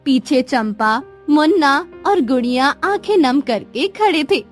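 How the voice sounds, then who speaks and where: Very high-pitched, slow woman storyteller. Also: expressive. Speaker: story narrator. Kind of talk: scripted children's story narration. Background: music.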